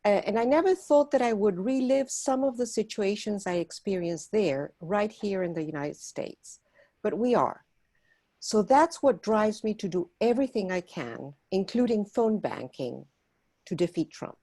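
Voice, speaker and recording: A woman from Argentina, a volunteer organizer, giving an earnest prepared talk at a meeting and pausing often on fillers. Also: mid-range voice, animated, dark tone. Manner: earnest, persuasive, with frequent filler pauses.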